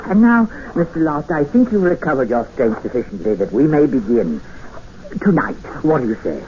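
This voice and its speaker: An actor playing a gentle, eerie older recluse obsessed with books, hesitant and politely insistent, in a 1950s radio drama. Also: male voice, medium pitch, big pitch swings, normal speed, close to an everyday voice. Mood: quietly menacing. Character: gentle, eerie jungle recluse obsessed with Dickens, quietly menacing. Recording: muffled, hissy 1950s radio recording.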